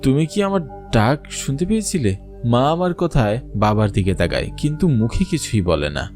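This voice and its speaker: Man acting expressive young son in a ghost cartoon. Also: medium pitch, normal speed, faint music behind, close to an everyday voice. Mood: curious. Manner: expressive, suspenseful storytelling. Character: Shahed, grown son narrating his eerie village ghost story. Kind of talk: dramatic scripted first-person horror narration and dialogue.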